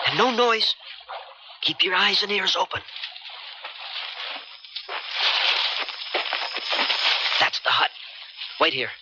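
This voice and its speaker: Guarded adventurer, noisy old radio. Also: male voice, sits high, lo-fi sound. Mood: tense, guarded. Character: wary, daring boatman, fond lover, leading a mission. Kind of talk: 1970s American radio drama acting.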